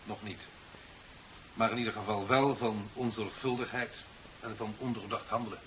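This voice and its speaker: An actor playing a stern, authoritative official in a 1970s radio drama, speaking in formal, measured, reproving phrases. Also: male voice, fairly low pitch, steady tone, fairly bright tone, natural voice. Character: stern, authoritative male official presiding over a hearing. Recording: dull, hissy old radio recording.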